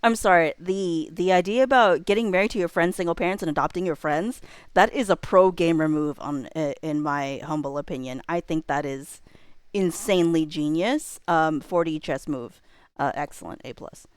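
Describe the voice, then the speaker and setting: Low-pitched woman, casual streamer, dry close sound. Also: clean tone, dry recording. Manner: rambling, thinking aloud; playful, quick to laugh. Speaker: livestreamer and host reading chat. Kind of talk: casual livestream chat.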